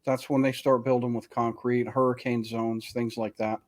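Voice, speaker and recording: A man co-hosting an interview, explaining plainly in a relaxed way with a few fillers. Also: medium pitch, normal speed. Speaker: podcast co-host. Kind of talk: casual interview chat.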